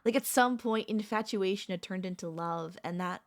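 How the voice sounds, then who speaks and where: A woman voicing a centuries-old vampire mistress, a tender, possessive girlfriend, speaking softly and intimately with courtly wording and hesitant pauses in an ASMR roleplay. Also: medium pitch, no room sound, close to an everyday voice. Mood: possessive, longing. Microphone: close studio microphone, dry.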